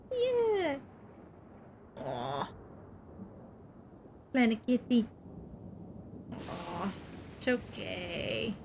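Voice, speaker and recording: A woman's voice: high-pitched, a little hiss or hum. A Dutch woman streaming a video game, chatting casually and playfully as she reacts aloud. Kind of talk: casual live game commentary. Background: soft game music.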